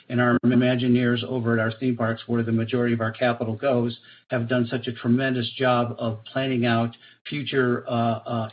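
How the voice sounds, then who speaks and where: A man speaking as a corporate executive on an earnings call, in measured, hesitant corporate phrasing. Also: medium pitch. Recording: dull conference call audio, faint noise.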